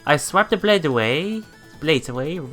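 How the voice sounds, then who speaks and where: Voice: mid-range voice, expressive, normal speed, fairly bright tone, faint music behind. A let's play streamer reading game text aloud with casual reactions, often stumbling over words and repeating them.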